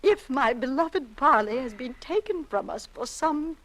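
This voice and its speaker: An American actress playing a fragile, sanctimonious old churchgoing wife in a 1950s radio drama, speaking formally and earnestly. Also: female voice, high-pitched, animated, measured pace, fairly bright tone, heavily acted. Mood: pious, fervent. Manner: pious, earnest, formal, preachy.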